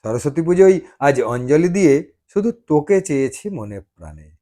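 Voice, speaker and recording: A man plays a young suitor in an audio love story. He is nervous, sincere and halting, with a fairly high male voice that rises and falls expressively. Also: big pitch swings, mellow. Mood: nervous, earnest. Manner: hesitant, halting, earnest.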